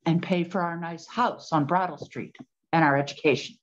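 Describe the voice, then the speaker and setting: A woman's voice: on the low side, normal speed, lightly acted. A woman playing an earnest young woman of a well-off 1920s family in an amateur scripted play. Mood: troubled. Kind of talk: amateur scripted stage acting. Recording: dull, slightly noisy, clicking audio.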